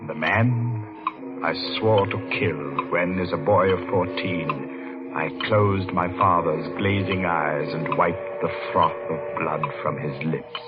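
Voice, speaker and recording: An actor playing a haunted, brooding middle-aged clockmaker in a 1940s radio mystery. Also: male voice, deep voice, slow. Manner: brooding, hesitant in conversation. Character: haunted, vengeful middle-aged London-born clockmaker, narrating his story. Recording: dull old radio recording, loud music.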